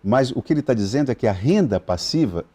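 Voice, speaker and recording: Hesitant male TV host, mellow voice. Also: medium pitch, expressive, quick pace. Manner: hesitant, many fillers and restarts. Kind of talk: live TV interview. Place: TV studio.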